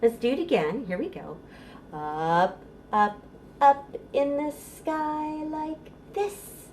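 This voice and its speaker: A middle-aged American woman hosts a storytime for babies. Her voice sits a little high and she takes her time, drawing rhymes out in a sing-song way. She gives parents encouraging instructions as a children's librarian would. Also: takes it slowly, darkish tone, slight background noise.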